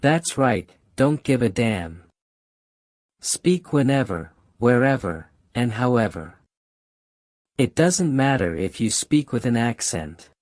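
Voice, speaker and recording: Hong kong guy, cantonese is his first language, talks real slow and punchy, dry close sound. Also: medium pitch, big pitch swings, a little mellow, no echo. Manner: emphatic, repeats key phrases. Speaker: channel host giving language advice. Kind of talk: motivational talk to camera.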